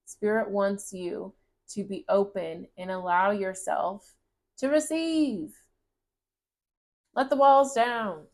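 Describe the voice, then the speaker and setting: Gentle encouraging woman reading tarot. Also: mid-range voice, slow pace, pauses often. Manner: gentle, encouraging, reads card text aloud. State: voice getting raspy. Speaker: tarot reader. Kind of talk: casual tarot reading to camera.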